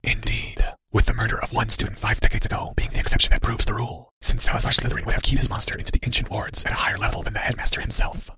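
A man voicing a mysterious, sly cloaked figure in an audiobook, in a dry, breathy whisper with courteous veiled menace. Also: lowish voice, little pitch movement, natural voice. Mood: quietly menacing. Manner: slow, courteous veiled threats. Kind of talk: audiobook character voice.

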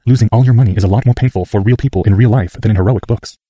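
A man reads a cautious inner voice of reason from a storybook. It is matter-of-fact and realistic, a little low, in a very dry recording. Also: racing, warm tone, no echo, close to an everyday voice.

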